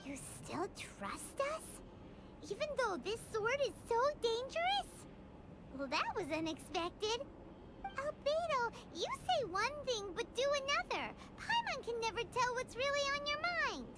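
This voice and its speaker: A woman voicing a tiny, childlike fairy companion in a video game, squeaky, bubbly, cheeky and dramatic. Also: sing-song, unhurried, heavy background noise, full character voice. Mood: startled, puzzled. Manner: bubbly, dramatic, speaks of herself in third person. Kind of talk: scripted video game dialogue. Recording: loud background music under the voice.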